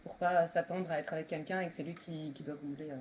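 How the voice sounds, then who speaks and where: A woman's voice: deep voice, steady tone, fast talker, a little mellow. A woman of thirty-four from Belgium, talking casually as a guest in a group discussion. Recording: faintly noisy room recording.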